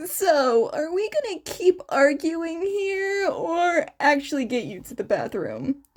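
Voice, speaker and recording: A woman voicing an excitable, worried, caring family man in a story, with emphatic delivery. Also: very high voice, takes it slowly, pitched above the performer's own voice, full character voice. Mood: teasing exasperation.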